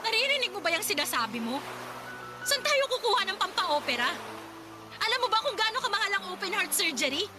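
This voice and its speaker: A very high-voiced young mother, upset and sharp-tongued. She speaks bluntly and with exasperation, with music playing clearly behind her. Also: big pitch swings, a little fast. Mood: exasperated. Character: distraught, snappish young wife and mother. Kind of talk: Tagalog radio drama acting.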